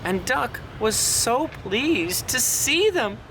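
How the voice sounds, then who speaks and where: A man's voice: very high voice, very animated, slow, background noise. A man reading a children's picture book aloud in a theatrical way, drawing words out dramatically. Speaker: storyteller.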